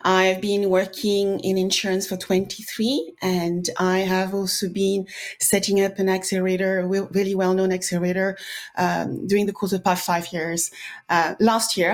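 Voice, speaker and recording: Flat-toned woman from France. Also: fairly low pitch. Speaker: panel guest, startup founder.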